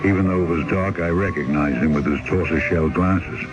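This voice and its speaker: A man's voice: sits low, a little fast, natural voice. An actor playing a weary old Siberian boatman in an old-time radio drama, folksy, reflective and resigned. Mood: reflective, reminiscing. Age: older. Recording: dull vintage radio recording, loud music behind.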